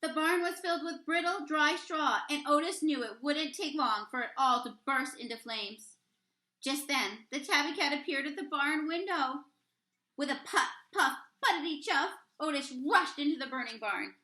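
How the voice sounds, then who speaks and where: A woman reading a children's picture book aloud expressively, with deliberate, dramatic emphasis on key words. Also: high voice, sharp tone, echoey room. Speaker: read-aloud storyteller, teacher reading to children.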